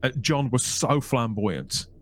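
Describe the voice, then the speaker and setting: A man hosting a podcast interview, measured and searching for words with stammered restarts. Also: mid-range voice, very animated. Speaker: podcast host.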